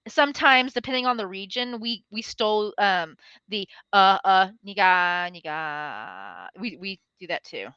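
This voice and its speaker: A woman of forty, an English tutor from the United States, chatting excitedly with a student and laughing often. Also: mid-range voice, a little slow, clear, resonant tone, dry recording, highs cut off. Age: 40s. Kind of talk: online lesson conversation. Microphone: video call.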